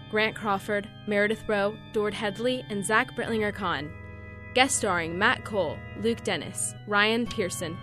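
A woman announcer reading scripted show credits. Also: medium pitch. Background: music.

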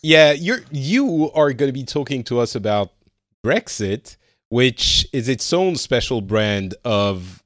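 A man's voice: mid-range voice, very animated, slow pace, a bit bright, no echo, studio quality. A man from France hosting a podcast in casual chat, rambling with many fillers and restarts. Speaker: podcast host.

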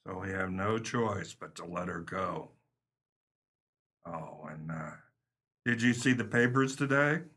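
A man's voice: low voice, measured pace, long pauses, a bit bright, slightly rough, heavily acted. A man playing a weary, pragmatic police captain in a 1930s pulp crime radio play. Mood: resigned.

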